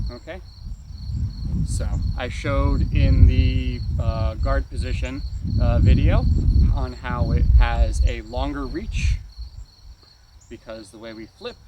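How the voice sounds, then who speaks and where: A man giving a casual, hands-on martial arts lesson as an instructor, often checking understanding and punctuating moves with exclaimed sound words. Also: medium pitch. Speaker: karate weapons instructor. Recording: distant mic, noisy outdoor ambience with insects.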